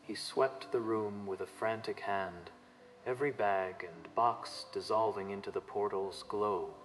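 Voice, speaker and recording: A man's voice: on the low side, measured pace, low-quality recording. A man narrating a dramatic manga story from a script.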